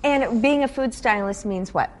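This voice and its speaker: A woman's voice: a little high, moderate pace. An American woman hosting a friendly morning-show interview, asking questions and reacting eagerly to her guest. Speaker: TV show host.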